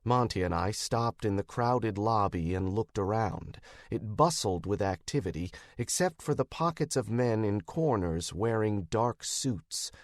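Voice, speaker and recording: American man, audiobook narration, wry detective storytelling, very lively pitch, dry studio sound. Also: unhurried, few pauses, no room sound. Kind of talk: first-person scripted narration, wry detective fiction.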